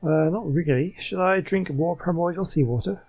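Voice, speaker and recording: Husky, mellow man doing game commentary. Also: fairly high pitch, moderate pace, dull audio. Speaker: gamer commentating on a playthrough. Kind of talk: casual game commentary, reading on-screen text aloud. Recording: music behind the voice.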